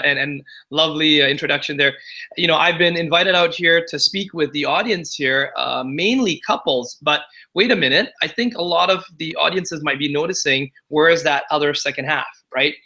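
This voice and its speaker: Singapore-born guy in his 40s on a video call, bright kinda high voice, chatty, lots of "right?". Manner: chatty and confiding, leans on "right?" and "you know". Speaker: guest entrepreneur. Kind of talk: casual interview talk.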